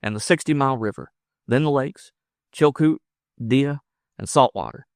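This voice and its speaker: Man acting out a forceful gold-rush miner in love. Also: mid-range voice, normal speed, no room sound, clean studio sound, pitched above the performer's own voice, natural voice. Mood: excited, boastful. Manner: forceful, emphatic, coaxing. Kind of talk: audiobook character dialogue.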